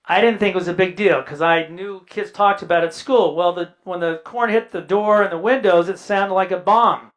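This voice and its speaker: A man in his sixties from the American Midwest stands before an audience and shares family stories. His voice sits high for a man. He tells anecdotes with a dry sense of humor and drops into quoted dialogue from his relatives. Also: normal speed, few pauses. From Indiana, US Midwest. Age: 60s. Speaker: guest speaker. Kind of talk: personal storytelling talk.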